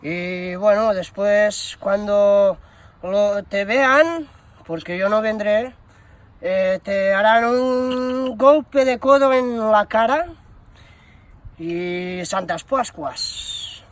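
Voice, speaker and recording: A man's voice: high-pitched, very slow. A man playing a bumbling shoe shop promotions employee on a prank call, hesitant and rambling, full of fillers and slang. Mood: cheeky, deadpan. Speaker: prank caller. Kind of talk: improvised prank phone call.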